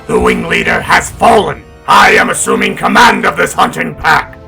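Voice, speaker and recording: A contemptuous feline alien commander in a space audio drama. His voice is heavily gravelled and fairly high for a male. He barks orders with hard, imperious scorn over faint music. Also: wildly swooping pitch, moderate pace, bright, forceful tone, heavily acted. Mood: commanding. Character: proud, contemptuous Kilrathi cat warrior taking command. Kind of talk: sci-fi audio drama acting.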